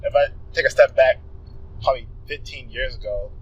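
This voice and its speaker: A man, a guest telling his story in casual conversation and acting out his past thoughts with a quick laugh. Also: mid-range voice, dull audio, a little hiss or hum. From Philadelphia, USA.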